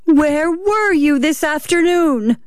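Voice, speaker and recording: A woman voicing an anxious, suspicious wife, insistent and sharp as she presses her husband in a story. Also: sits high, animated, a little slow, no echo, clean studio sound, voice pushed up. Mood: sharp, accusing.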